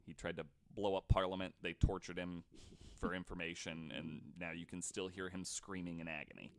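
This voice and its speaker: A man's voice: sits low, flat delivery, normal speed. A man hosting a podcast, telling stories casually from notes with informal, sweary asides. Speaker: podcast host.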